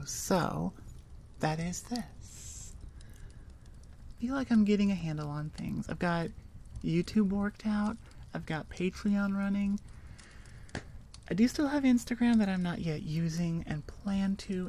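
A woman's voice: on the low side, a little mellow, a little hiss or hum. A woman chatting informally to her listeners as an audio creator, candid and rambling. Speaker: ASMR voice actor and channel creator. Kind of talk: casual outro chat to listeners.

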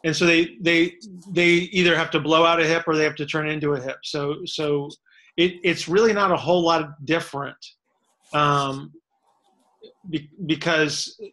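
Bright, forceful coach voice on a webcam call, repeats words, keeps asking "make sense?". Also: male voice, on the high side. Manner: explains step by step, repeats words, checks for understanding. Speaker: strength and fitness coach answering questions. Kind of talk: casual expert Q&A explanation. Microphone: webcam on a video call.

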